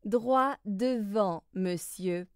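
A woman reading scripted lesson phrases slowly, in a deliberate, carefully enunciated way. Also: medium pitch, smooth, no echo, clean studio sound. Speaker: language lesson reader.

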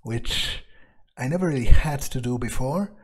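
A man's voice: mid-range voice, animated, normal speed. A man roleplaying as he plays a game, thinking aloud in first person with casual swearing. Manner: musing, sweary. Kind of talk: in-character first-person musing over gameplay.

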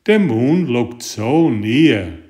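A man reading a children's picture book aloud in a deliberate, expressive storytelling manner. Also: mid-range voice. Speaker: storybook reader and author.

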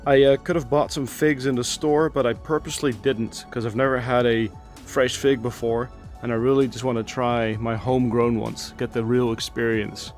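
Relaxed man touring his garden. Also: mid-range voice, normal speed, hardly any pauses, faint music behind. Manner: relaxed, enthusiastic, chatty with fillers. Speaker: gardening channel host. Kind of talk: casual garden tour narration. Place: outdoors in a home garden.

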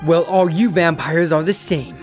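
An actor playing an earnest, caring teenage boy in a high school radio drama. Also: fairly even intonation, normal speed, music in the background, natural voice. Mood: rehearsing.

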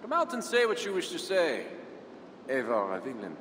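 An actor playing a proud, defiant Frankish count in a video game cutscene, measured and haughty. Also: male voice, fairly high pitch. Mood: wary, haughty. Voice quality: measured, haughty, lively delivery. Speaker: Frankish nobleman. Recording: game audio with clear ambient noise.

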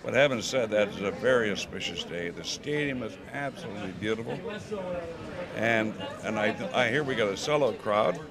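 Older guy rambling through an interview, low voice, lots of chatter around him. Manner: rambling, halting, with many restarts. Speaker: donor interviewed at stadium opening. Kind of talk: casual interview. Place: crowded indoor hallway. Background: crowd chatter.

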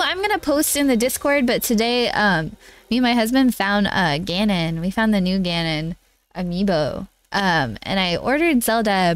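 A young woman with a nasal, congested voice, chatting in a bubbly, giggly way with viewers while streaming a game. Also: medium pitch. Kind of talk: casual live-stream chat while gaming.

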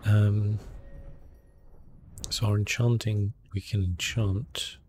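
A man giving soft-spoken, relaxed ASMR commentary over a video game, murmuring and often dropping into whispers. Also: on the low side, takes it slowly, soft background music. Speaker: gaming video host.

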